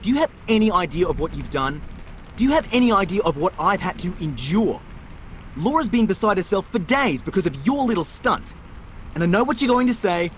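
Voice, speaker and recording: An actor in a radio play playing a protective, exasperated young big brother with a blunt, earnest manner. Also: male voice, high voice, very animated, a little fast, slight background noise, poor audio. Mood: angry.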